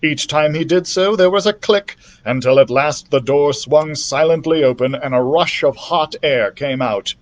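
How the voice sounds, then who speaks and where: Higher-voiced man narrating a storybook. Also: moderate pace, hardly any pauses. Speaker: library storytime reader. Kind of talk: expressive scripted storybook narration.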